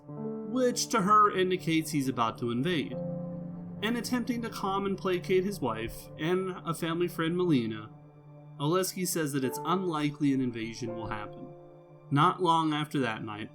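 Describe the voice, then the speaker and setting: A man's voice: medium pitch, a bit bright. Man narrating a game review over music. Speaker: game reviewer and channel host. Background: music under the voice.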